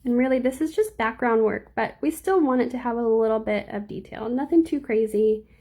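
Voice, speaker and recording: Slightly squeaky woman teaching nail art. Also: a little fast, warm tone. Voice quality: a little squeaky. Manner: chatty, reads and answers viewer comments. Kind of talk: live nail art tutorial.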